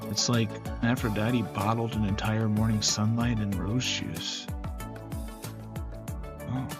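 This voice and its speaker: Slow-paced man acting out dialogue. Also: lowish voice, little pitch movement, over music. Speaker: game player voicing each character. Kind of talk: acted narration of a visual novel.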